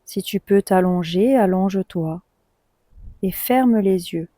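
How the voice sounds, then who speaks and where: Woman, meditation guide, very slow delivery, mellow dark timbre, calm and soothing, long pauses. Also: medium pitch, dry recording. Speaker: yoga nidra guide.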